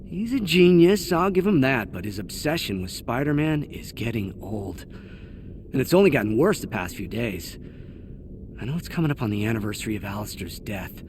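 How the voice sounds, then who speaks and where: An actor playing a weary, cynical young corporate villain recording a sardonic audio log in a video game. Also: male voice, on the low side, animated, moderate pace, background noise, lightly acted. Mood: irritated. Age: young adult. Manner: grumbling, sardonic.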